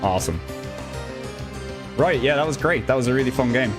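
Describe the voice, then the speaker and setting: A man's voice: mid-range voice, expressive, fairly quick. A young Irish man with an Irish accent, casually chatting through a live gameplay stream in an upbeat, rambling way. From Ireland. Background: game music.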